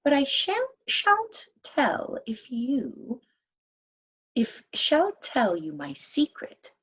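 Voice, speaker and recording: A woman voicing an excitable, curious little girl elf in a children's storybook, earnest and exclaiming. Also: high voice, drawn-out words, husky, voice pushed up, exaggerated voice, rough recording. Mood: teasing.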